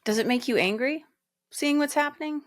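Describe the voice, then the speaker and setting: A woman's voice: high-pitched, fairly quick, no room sound, studio quality. A young woman hosting an interview, asking direct, probing questions. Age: young adult. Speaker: host. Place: studio set, indoors. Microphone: studio microphone on arm.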